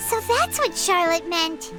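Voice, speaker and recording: A woman voicing a tiny, eager, childlike fairy companion in a video game, with a squeaky voice and chirpy manner. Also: animated, measured pace, over music. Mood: curious. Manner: childish, talks about self in third person. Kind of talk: video game dialogue acting.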